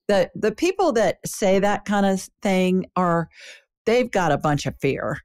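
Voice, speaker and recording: A woman's voice: medium pitch, animated, measured pace, a little mellow, dry recording, studio quality. A woman hosting an interview, speaking in a blunt, matter-of-fact teaching tone. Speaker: show host. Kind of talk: interview conversation.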